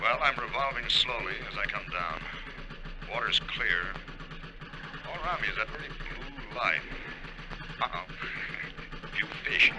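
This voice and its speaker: An actor playing a steady, focused deep-sea diver, reporting in clipped, halting phrases in 1950s radio drama style. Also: male voice. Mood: watchful. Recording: noisy vintage radio recording, diving ambience.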